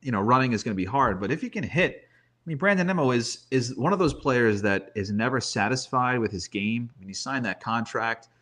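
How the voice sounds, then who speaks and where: Guy doing baseball talk, mid pitched voice, emphatic, tells it like a story. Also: expressive, a little fast, a bit bright. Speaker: sports commentator guest.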